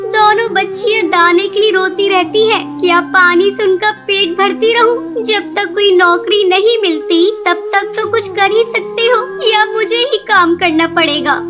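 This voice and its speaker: Female actor as cartoon mother bird, extremely high pitch, plaintive, earnest, worried, music underneath. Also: unhurried, few pauses. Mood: pleading, upset. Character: worried, dutiful young mother bird, pleading and resolute. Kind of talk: cartoon voice acting.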